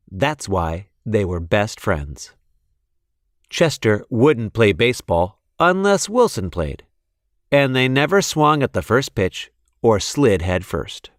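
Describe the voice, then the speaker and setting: A man reading a children's storybook expressively, with deliberate, measured phrasing. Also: medium pitch, dry recording, clean studio sound. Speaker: storybook reader.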